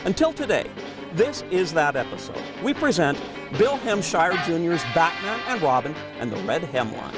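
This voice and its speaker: A man's voice: on the high side, big pitch swings, normal speed. A man presenting and narrating a scripted documentary-style story. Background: music.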